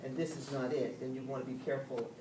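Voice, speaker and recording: A woman's voice: extremely low pitch, steady tone, quick pace. A woman offering practical advice at a student meeting, emphatic in tone. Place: classroom. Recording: echoey classroom, distant mic, noise.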